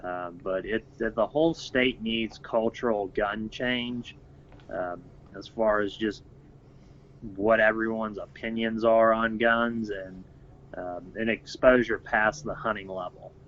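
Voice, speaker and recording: A man with a lowish voice speaks in a plain, matter-of-fact way on a casual podcast. The recording is dull and lo-fi, with a faint hiss underneath. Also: fairly even intonation, slow pace, fairly bright tone. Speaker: gun-rights group organizer.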